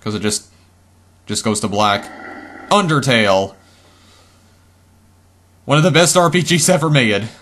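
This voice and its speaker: A man commentating his game run casually, chatty and easily startled, his voice a bit hoarse. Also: mid-range voice, lively intonation, measured pace, pauses often, fairly bright tone, a little hiss or hum. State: slightly hoarse, losing voice. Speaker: let's play gamer.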